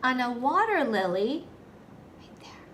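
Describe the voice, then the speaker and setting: A woman with a high-pitched voice tells a story to young children as their teacher. She goes slowly, phrasing things simply and putting questions to the listeners. There is faint noise behind her. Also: sing-song, a bit bright. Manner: simple, deliberate phrasing aimed at small children.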